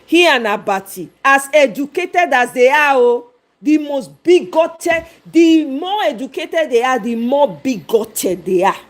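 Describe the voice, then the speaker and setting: A woman with a Nigerian accent hosts a casual live reaction stream. Her voice sits fairly high, and she comments in exclamatory asides, mixing in some Pidgin. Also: lively intonation, measured pace.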